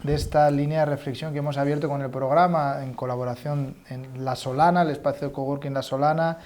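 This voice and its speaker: Guy hosting a talk show, kinda high voice, formal but lots of uh and repeats. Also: flat delivery, a little fast, a little mellow. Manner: formal, with frequent fillers and repetitions. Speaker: host. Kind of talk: interview hosting.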